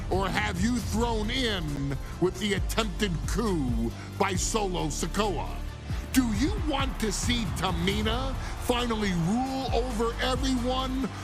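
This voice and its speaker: A man's voice: sits high, heavily acted. A man delivering grand, dramatic scripted narration as the host of a video game, speaking directly to the player. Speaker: showcase mode host and narrator. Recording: music under the voice. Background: music.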